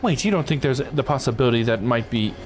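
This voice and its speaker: A man voicing a gruff, world-weary police detective in a visual novel, with a casual, grumbling manner. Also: mid-range voice, lively intonation, fast, over music, lightly acted. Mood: uneasy.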